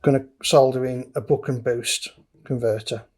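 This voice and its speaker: A man's voice: medium pitch, steady tone, normal speed, a little mellow. Matter-of-fact man talking through hands-on work. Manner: matter-of-fact, talking through each step while working. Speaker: DIY electronics presenter. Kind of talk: casual hands-on tutorial commentary. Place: indoor electronics workbench.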